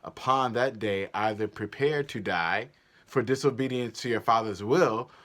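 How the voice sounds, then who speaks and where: A man's voice: mid-range voice, measured pace, a bit bright, close to an everyday voice. A man playing a grand, commanding duke in a stately, declamatory Shakespearean stage reading. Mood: grave. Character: grand, commanding male duke, measured and solemn.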